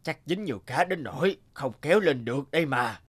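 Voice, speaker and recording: A man's voice: a little high, expressive, fairly quick, husky. A man voicing a greedy, smug, selfish fox who gloats and exclaims in a cartoon fable. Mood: gloating.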